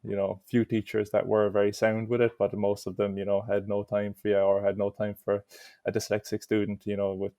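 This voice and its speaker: A man's voice: lowish voice, monotone, brisk, warm tone, no echo. A young Irish man answering interview questions in an easygoing way, with lots of "you know". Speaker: interview guest.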